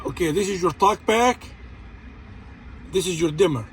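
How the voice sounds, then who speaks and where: A man's voice: sits high, moderate pace, fairly bright tone. A man casually explaining equipment like an installer, in short informal phrases sprinkled with "man" and "you know.". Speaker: CB radio installer. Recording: noisy recording around truck and radio.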